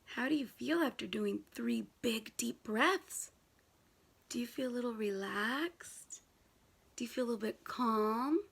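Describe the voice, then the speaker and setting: A woman's voice: a little high, gravelly, a bit bright, husky. A woman reading a picture book aloud to young children, asking them questions and repeating key words for emphasis.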